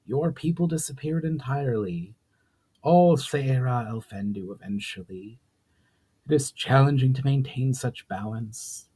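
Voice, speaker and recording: A man voicing an ancient, weary sorceress in a storybook reading, speaking slowly and solemnly with deep sorrow. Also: mellow, husky, low-quality recording. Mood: grave, weary. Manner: slow, pained, solemn speech.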